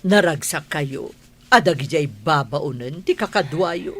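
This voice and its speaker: A woman's voice: deep voice, expressive, a little slow. An actress with a Filipino accent playing a stern, class-proud middle-aged mother in a radio drama, scolding and domineering. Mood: probing.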